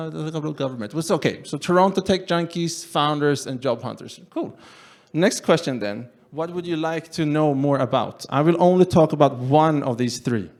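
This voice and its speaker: A man from Sweden with a Swedish accent, presenting his company's tool live to a meetup audience in a relaxed way, with many fillers, reading out audience poll results as they come in. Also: a little high. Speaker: company general manager presenting.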